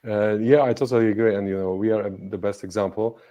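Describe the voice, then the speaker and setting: A man hosting an interview over a video call, agreeable and halting, with many fillers. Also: on the low side, fairly even intonation, warm tone, highs cut off. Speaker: interview host. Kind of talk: conversational interview.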